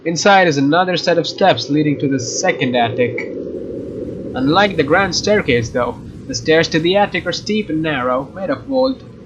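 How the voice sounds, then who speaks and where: A man doing a let's play, reading visual novel narration aloud in a casual, expressive way with informal asides. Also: a little high. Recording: dull lo-fi mic, faint noise.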